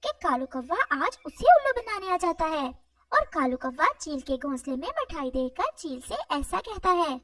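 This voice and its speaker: A woman's voice: high-pitched, sing-song, moderate pace, a bit bright, no echo, studio quality, far from the mic. A woman narrating a scripted moral story for children.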